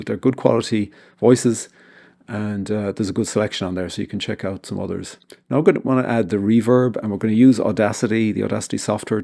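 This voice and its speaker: A man narrating an informal software tutorial as its host, explaining things step by step. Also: lowish voice, gravelly.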